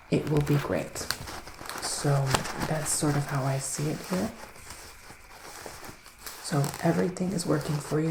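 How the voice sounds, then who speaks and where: A man playing a gentle, gloomy psychic witch in an ASMR tarot roleplay, speaking softly in a hushed, unhurried way that often slips into whispers. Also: fairly high pitch. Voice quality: soft, breathy, often slipping into whispers. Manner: hushed, unhurried, musing, with dramatic pauses. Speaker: ASMR performer, tarot reader. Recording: roomy sound with faint background noise.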